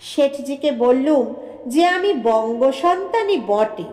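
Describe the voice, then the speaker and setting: A woman's voice: high voice, animated, slow pace, a little mellow. A woman narrating a scripted short story as a storyteller. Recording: echoey room with long reverb.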